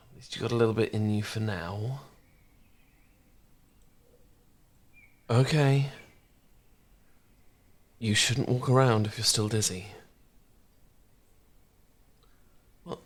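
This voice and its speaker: A man playing a gentle, caring housemate in an intimate ASMR romance roleplay, speaking softly and reassuringly. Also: medium pitch, husky, exaggerated voice. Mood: gentle concern. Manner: soft, reassuring, unhurried conversational delivery. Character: gentle, caring male housemate, shyly fond and easygoing.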